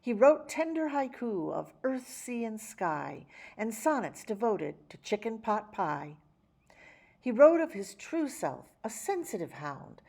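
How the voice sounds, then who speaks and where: A woman reading a rhyming children's picture book aloud, with theatrical, measured phrasing and dramatic emphasis. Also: mid-range voice, creaky, darkish tone, slightly rough. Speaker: children's story reader. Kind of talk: expressive picture-book read-aloud in rhyme.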